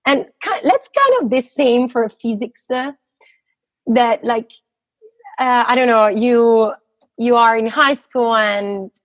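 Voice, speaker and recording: A woman's voice: on the high side, animated, takes it slowly, dry recording. A woman in her thirties with an Italian accent, a physicist answering interview questions casually, hesitant and full of fillers and restarts. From Italy. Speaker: guest, postdoctoral physicist.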